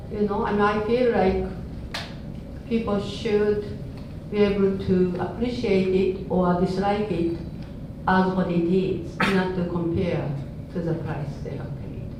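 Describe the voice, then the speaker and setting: Older woman, Japanese accent, earnest and unhurried. Distant, heavy reverb, noisy. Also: mid-range voice. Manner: earnest, unhurried, frequent laughs, non-native phrasing. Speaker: dance artist giving a talk. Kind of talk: informal public talk. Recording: echoey hall, speaker off-mic, audible noise.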